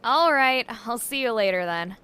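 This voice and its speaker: A woman's voice: fairly high pitch, expressive, normal speed, bright, forceful tone, smooth. A woman playing a friendly, earnest young investigator in an audio drama. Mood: casual, friendly. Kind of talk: audio fiction acting.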